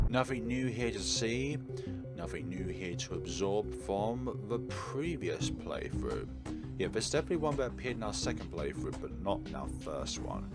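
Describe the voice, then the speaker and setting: Deep voiced guy narrating a visual novel playthrough, really expressive with chatty asides, music behind. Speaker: gaming video host. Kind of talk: let's play commentary, reading visual novel text aloud. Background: game music.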